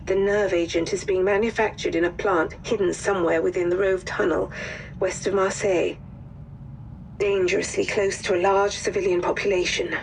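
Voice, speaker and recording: An actress playing a grave, composed wartime intelligence officer, narrating a mission briefing in a formal, measured way. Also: female voice, mid-range voice, a little hiss or hum, natural voice, low-quality recording.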